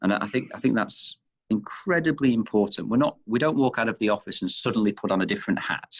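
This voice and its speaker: A man from Manchester with a Northern English accent, answering interview questions in a thoughtful, halting way, restarting phrases as he goes. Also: fairly low pitch, fairly bright tone. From Manchester, England. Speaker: interview guest, chief executive of leadership institute. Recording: dull, muffled lo-fi audio.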